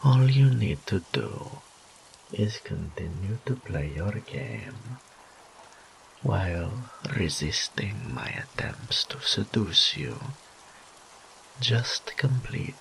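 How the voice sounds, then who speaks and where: A man voicing a seductive, arrogant incubus demon in an intimate roleplay audio drama, breathy and often whispering, with teasing pauses. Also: on the low side, expressive, fairly bright tone, slight background noise, exaggerated voice, rough recording. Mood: teasing, coaxing. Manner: slow, teasing, drawn-out phrases with pauses.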